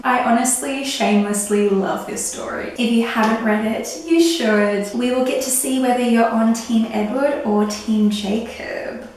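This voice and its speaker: Husky, gushing woman in an echoey room. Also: fairly high pitch. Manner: gushing, excitable. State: husky, recovering from illness. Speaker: book reviewer. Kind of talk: casual book review chat.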